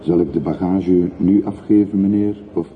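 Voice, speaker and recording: Very deep-voiced, chatty railway porter played by a man. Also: steady tone, moderate pace, close to an everyday voice. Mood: obliging. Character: talkative, obliging older railway porter, easygoing local man. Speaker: actor in a radio play. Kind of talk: radio drama acting. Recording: muffled narrowband radio recording with hiss.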